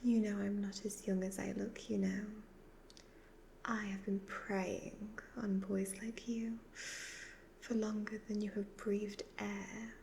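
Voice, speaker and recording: Slow breathy evil witch, acted by a woman. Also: medium pitch, level tone, dark tone. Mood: gloating, mocking. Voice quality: breathy, half-whispered. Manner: slow, deliberate, hypnotic, condescending. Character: evil ageless witch, youthful-looking, gloating, seductive, predatory. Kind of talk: soft-spoken ASMR roleplay. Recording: faint background noise.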